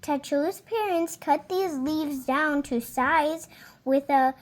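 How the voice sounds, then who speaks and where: A young reader slowly and carefully reading a picture book aloud, now and then stumbling on a word and fixing it. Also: female voice, sits high. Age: child. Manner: slow careful reading with small slips and self-corrections.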